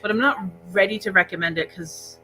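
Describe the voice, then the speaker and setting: Bright-voiced woman chatting casually about books. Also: mid-range voice, a little fast, slight background noise, poor audio. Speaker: co-host of a book discussion livestream. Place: indoor library room, speaking into a laptop set-up.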